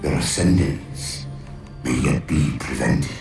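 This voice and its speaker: An actor playing an ancient, imperious alien warlord in a video game, speaking in grandiose, menacing pronouncements with contempt for humans. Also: male voice, slow pace, full character voice. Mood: scornful, menacing. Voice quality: gravelly, booming. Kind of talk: cinematic video game villain acting. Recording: cavernous echo, distant, music underneath.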